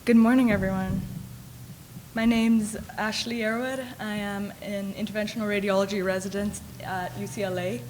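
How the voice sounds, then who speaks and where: A woman's voice: medium pitch, steady tone, moderate pace, darkish tone, a little hiss or hum, poor audio. A young woman from the Caribbean, a radiology resident, giving a friendly conference talk with light humor. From Caribbean, grew up in Grenada. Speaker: radiology resident, panel speaker. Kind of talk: conference presentation. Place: conference hall.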